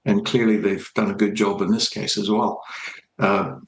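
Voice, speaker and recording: A man with a low, gravelly voice explains things as an expert in a news interview. He speaks in a halting, measured manner, and the room echoes around him. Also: flat delivery. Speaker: aviation expert being interviewed.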